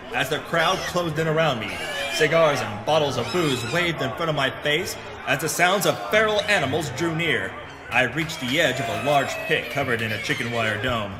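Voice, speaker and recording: A man's voice: medium pitch, big-room sound, natural voice. A man playing a hardboiled, melodramatic pulp detective who narrates his adventure in a noir parody audio drama.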